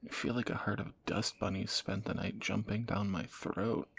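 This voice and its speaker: A man playing a groggy fantasy assassin in an intimate romantic audio roleplay, husky and halting, with wry understatement. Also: lowish voice, slight background noise. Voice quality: gravelly, husky morning voice. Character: groggy male fantasy assassin, softening captor, flustered and teasing.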